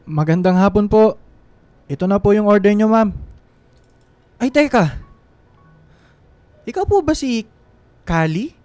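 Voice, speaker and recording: Eager, respectful server, male actor, smooth high voice, close dry sound, radio drama. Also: lively intonation, measured pace, pauses often, a little mellow, dry recording, faint music behind. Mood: eager, polite. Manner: courteous, eager. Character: polite, eager male server and devoted reader. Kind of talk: radio drama acting in Tagalog.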